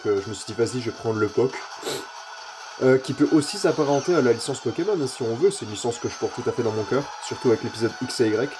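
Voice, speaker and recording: A man with a head cold gives rambling, casual commentary while playing a racing game, with many fillers and the odd curse. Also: mid-range voice. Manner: rambling and filler-heavy, mild swearing. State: stuffy-nosed with a cold. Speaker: gaming youtuber.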